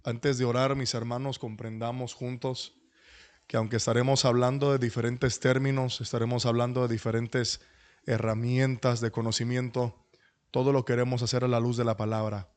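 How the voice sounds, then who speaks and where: A middle-aged man, speaking as a pastor in a humble, courteous and deliberate way to an online class. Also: mid-range voice, little pitch movement, a little mellow. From Dominican Republic and New York. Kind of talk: introductory talk at an online church leadership class. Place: online video call.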